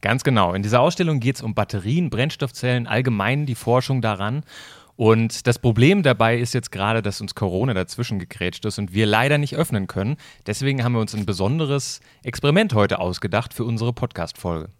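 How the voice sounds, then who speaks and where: A man's voice: medium pitch, expressive, brisk, no echo, clean studio sound. A man speaking as podcast co-host and exhibition curator, explaining things to listeners. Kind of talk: podcast introduction.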